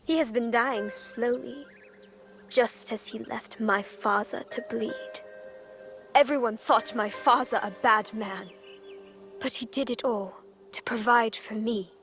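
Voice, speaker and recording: A woman's voice: a little high, takes it slowly, a bit bright. A woman playing a vengeful young pirate's daughter in a game set in feudal Japan, grave and intense. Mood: bitter confession. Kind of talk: video game cutscene acting. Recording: music behind the voice.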